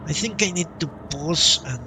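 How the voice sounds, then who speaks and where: A man giving casual live commentary on a game he plays, halting and muttering to himself in a self-deprecating way. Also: a little high, animated, background noise. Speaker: game streamer.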